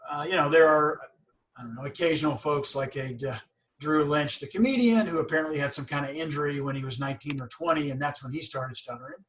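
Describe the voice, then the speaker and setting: Earnest man who stutters, a bit high. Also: fast, fairly bright tone, rough recording. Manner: stutters, with blocks and repeated syllables; candid and earnest. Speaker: podcast host. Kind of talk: casual interview chat.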